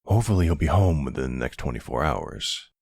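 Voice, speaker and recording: A man playing a charming middle-aged mafia boss, suave and flirtatious, with a gravelly, husky voice, in an intimate ASMR roleplay. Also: a bass voice, animated, fairly quick, a little mellow, dry recording, heavily acted. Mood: firm, relenting. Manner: suave, courteous, teasing, uses pet names.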